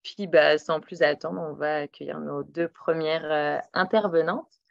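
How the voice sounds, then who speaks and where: A woman's voice: deep voice, steady tone, a little slow, creaky, no echo. A woman with a Québécois accent introducing a webinar in a casual, hesitant way with many fillers, over a computer mic on a video call. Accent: Québécois French. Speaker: webinar host. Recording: dull, dry lo-fi audio.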